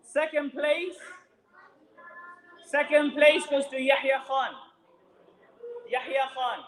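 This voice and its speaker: A man with a notably high voice announces prizes to children, projecting loudly so the whole room can hear. His voice carries through an echoey mosque hall. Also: steady tone, slow pace. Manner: loud, projecting over a crowd.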